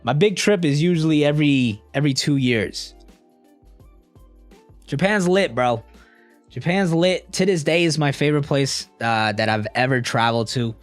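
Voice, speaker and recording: A man's voice: on the high side, normal speed, faint music behind. A young man casually chatting with a live stream audience in a laid-back, slangy, rambling way. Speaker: streamer answering live chat.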